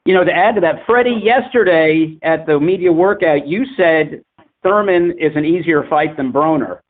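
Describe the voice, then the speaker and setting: A man's voice: fairly high pitch, moderate pace. A man casually moderating a press conference call in a wry, bantering way. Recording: tinny phone conference line.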